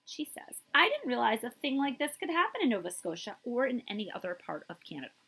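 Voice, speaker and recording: A woman reads a picture book aloud to young listeners in a high-ish voice with a breathy, husky edge. Her delivery is emphatic and very melodic, stretching out important words and adding short asides of her own. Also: sing-song, fast talker, sharp tone. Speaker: librarian reading aloud to children.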